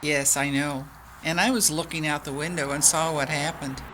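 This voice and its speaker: A woman's voice: low-pitched, steady tone, moderate pace, fairly bright tone, slight background noise, rough recording. A woman playing a kind, earnest, reassuring religious sister in an audio drama. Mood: concerned.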